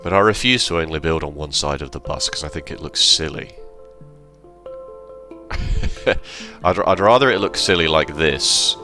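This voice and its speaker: A man giving relaxed, rambling commentary over his gameplay, sounding slightly hoarse and correcting himself as he goes. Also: rumbling low. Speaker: let's play host.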